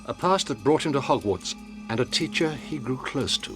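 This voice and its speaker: An older man playing a wise, grave wizard headmaster in a video game, speaking in a measured, formal way. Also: mid-range voice, animated, over music, lightly acted. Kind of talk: scripted cinematic game acting.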